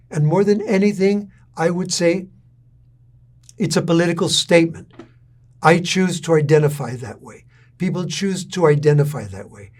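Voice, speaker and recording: A middle-aged man from Texas, giving a lecture in a measured, deliberate storytelling way. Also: on the high side, darkish tone. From San Antonio, Texas. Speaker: university lecturer.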